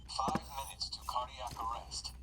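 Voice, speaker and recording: A man voicing an impassive automated medical assistant that gives clipped, flat announcements in a video game. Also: medium pitch, measured pace, rough recording.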